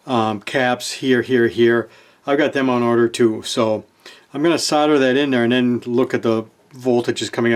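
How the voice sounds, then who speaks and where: A man giving casual, unscripted narration of hands-on repair work, thinking aloud in laid-back phrasing, often trailing off and correcting himself. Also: medium pitch. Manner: halting, trailing off and self-correcting mid-thought. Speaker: host of a repair channel, hobbyist radio restorer.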